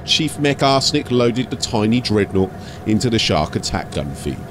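A man's voice: fairly low pitch, big pitch swings, moderate pace, noisy background. A man giving a scripted, dramatic narration as an audiobook storyteller.

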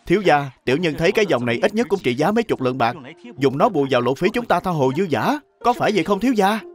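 An actor playing a young, deferential manservant, humble and earnest, in an animated drama. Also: male voice, fairly high pitch, fast, faint music behind, natural voice. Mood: excited.

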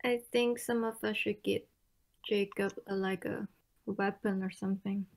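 Female gamer, casual and tentative, leisurely pace, gravelly fry. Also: medium pitch, steady tone, measured pace. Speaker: player in a tabletop game. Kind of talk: casual game chat.

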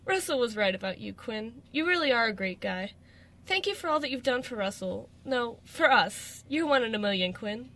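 A woman's voice: a little high, animated, normal speed, pushed and bright. A woman playing an affectionate, anxious girlfriend, emotive and tender, in an amateur radio drama. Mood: grateful, affectionate. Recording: noticeable background noise.

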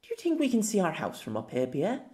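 A man's voice: high voice, huge pitch swings, fast talker, a little mellow, pitched above the performer's own voice. A man voicing a cheerful, playful young woman from a fantasy world in an audiobook, earnest and teasing. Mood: curious.

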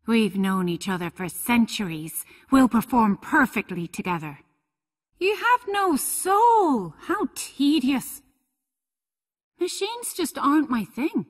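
An actress voicing a seductive, playful, teasing succubus demon in a fighting video game, with a sultry, flirtatious delivery. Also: female voice, sits high, big pitch swings, takes it slowly, heavily acted.

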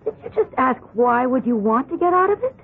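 A muffled vintage radio recording of an American housewife, chatty and practical. Her voice is husky, and she talks in an insistent, conversational 1970s radio drama manner. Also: sits high, big pitch swings, a little fast, heavily acted. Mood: exasperated. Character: chatty, practical, self-interested suburban housewife. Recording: muffled old radio broadcast.

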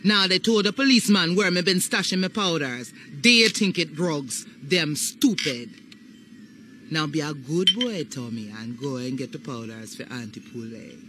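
An actress playing a sly older Haitian voodoo matriarch with a Caribbean accent, coaxing and ominous in a video game. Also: female voice, sits low, expressive, moderate pace, background noise. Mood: scornful, coaxing. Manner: coaxing, ominous, dialect phrasing. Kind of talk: video game cutscene acting.

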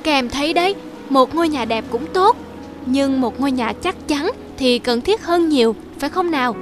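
Reassuring big-brother rabbit, high-pitched. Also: female voice, lively intonation, normal speed, over music. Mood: gently instructive. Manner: gently instructive, reassuring. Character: caring eldest brother rabbit, sensible and reassuring. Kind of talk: cartoon dubbing.

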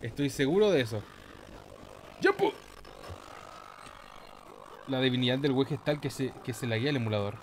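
A man with a Chilean Spanish accent giving casual, excitable let's play commentary in a playful, slangy, exclamatory manner. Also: mid-range voice, normal speed, long pauses, a little hiss or hum. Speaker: gaming YouTuber, host.

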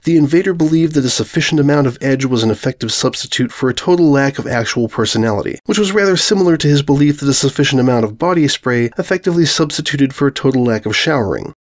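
A male narrator with a mid-pitched voice reads a comic fan story from a script. His delivery is dry and wry throughout. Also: lively intonation, fast, few pauses.

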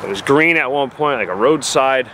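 Man, New Jersey accent, mid pitch, casual exploring commentary, handheld camera outdoors, some um and uh. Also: expressive, normal speed, very noisy. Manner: plain descriptive narration with fillers. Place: woods outdoors.

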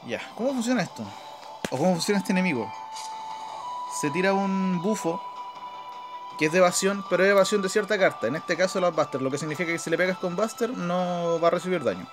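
A man's voice: high voice, expressive, brisk. A man with a Chilean Spanish accent giving casual, informal gameplay commentary, thinking aloud as he plays. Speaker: gaming video host. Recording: game music behind the voice. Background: game music.

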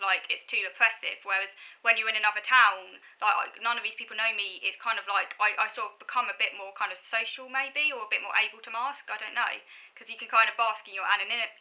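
A woman's voice: mid-range voice, sharp tone, low-quality recording. A thirty-year-old woman with a southern English accent and a youthful-sounding voice, talking casually to camera in a hesitant, reflective, wandering way. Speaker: vlogger. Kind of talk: casual personal talk to camera. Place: small furnished room at home, indoors.

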